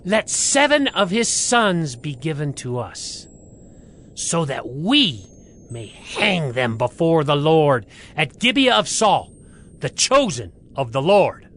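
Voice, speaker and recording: Man acting animated, aggrieved ancient spokesman. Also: a little high, slow pace, slight background noise, heavily acted. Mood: grim, aggrieved. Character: grim, aggrieved Gibeonite spokesman seeking retribution. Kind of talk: dramatized scripture acting.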